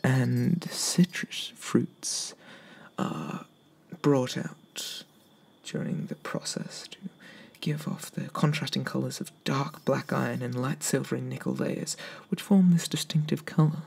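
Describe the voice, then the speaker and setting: A man plays a courteous, eager weapons merchant in a soft ASMR roleplay. His voice is a very breathy whisper with a mellow tone and gravelly creak. He talks slowly and a little hesitantly, close to the microphone. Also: mid-range voice, lively intonation. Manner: slow, hesitant, courteous sales patter. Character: polite, eager male weapons merchant obsessed with swords. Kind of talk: softly spoken ASMR roleplay.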